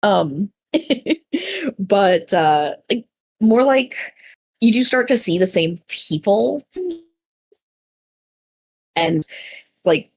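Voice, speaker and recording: A woman's voice: medium pitch, expressive, extremely slow, long pauses, darkish tone, no echo. A woman chatting casually on a panel in an effusive, rambling, self-deprecating way. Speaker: panelist, small content creator and voice actor. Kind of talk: casual unscripted podcast chat.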